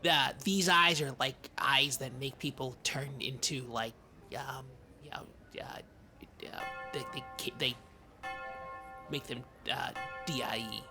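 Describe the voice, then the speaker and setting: A performer playing a dramatic, skittish, childishly petulant male podcast co-host, halting and stammering through improvised comedy chat. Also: medium pitch, steady tone, over music, natural voice. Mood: hushed, fearful.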